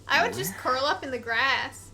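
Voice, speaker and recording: Bright, high-voiced woman commentating on gameplay. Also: expressive, normal speed. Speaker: let's play commentator. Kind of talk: casual reactive gameplay commentary. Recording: noisy, game sound behind. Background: video game audio.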